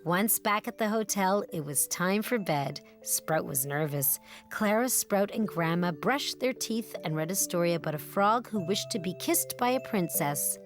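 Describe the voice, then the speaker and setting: Female children's storyteller and host, expressive, encouraging, lively pitch, light music underneath. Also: moderate pace, few pauses. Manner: encouraging, simple questions and phrasing for children. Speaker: children's book club host and reader. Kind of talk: expressive picture-book reading and chat with a child.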